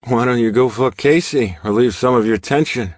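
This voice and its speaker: A man plays a crude biker buddy, pitched up from his usual register. He is mocking and goading, with a jokey edge, in a dry audiobook. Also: moderate pace, no echo, close to an everyday voice.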